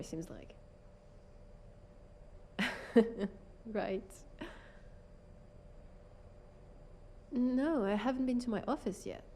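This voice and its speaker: A woman acting as a female vampire detective with a French accent, speaking at a slow, halting pace. She is brusque, sweary and sarcastic, easily flustered, in the manner of an audio roleplay drama. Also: mid-range voice, mellow, slight background noise, close to an everyday voice. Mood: casual, dry.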